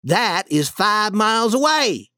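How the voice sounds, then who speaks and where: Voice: medium pitch, very animated, slow pace, pushed and bright, dry recording, studio quality, voice pushed up, heavily acted. A storybook reader voicing a bold, eager young boy adventurer in a raised voice, confident and persuasive.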